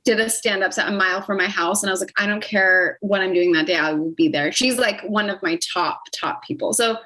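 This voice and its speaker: A woman's voice: medium pitch, fairly quick, sharp tone, rough recording. A young adult woman talking as a guest on a podcast, friendly and chatty. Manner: friendly, chatty, enthusiastic about comedians. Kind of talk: casual chat.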